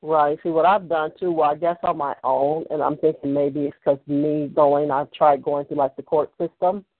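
A man's voice: on the high side, steady tone, moderate pace, dry recording. A man calling in to a talk line, speaking hesitantly and often restarting his sentences. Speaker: caller. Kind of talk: casual phone call. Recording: tinny phone line.

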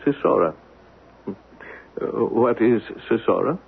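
Cranky suspicious man in old radio play, raspy, curt, sardonic, thin narrowband sound. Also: fairly low pitch, animated, slow pace, full character voice. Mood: impatient, dismissive. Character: irritable, guarded, dismissive man hosting an unwanted visitor. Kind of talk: 1970s radio drama acting.

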